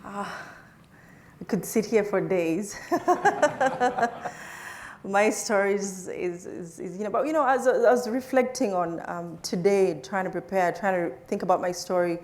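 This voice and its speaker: A woman's voice: mid-range voice, unhurried. A woman from Uganda, a scientist, telling her life story in an interview, with frequent laughs and many restarts. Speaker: plant scientist guest.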